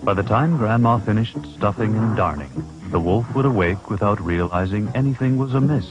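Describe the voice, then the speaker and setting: A man reading scripted fairy-tale narration in a measured storytelling manner. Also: on the low side, lightly acted. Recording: dull VHS soundtrack, loud music.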